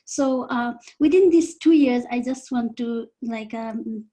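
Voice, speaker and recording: A woman from Nepal, presenting earnestly and haltingly over a video call. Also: fairly high pitch, mellow. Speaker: volunteer presenter. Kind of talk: informal online presentation.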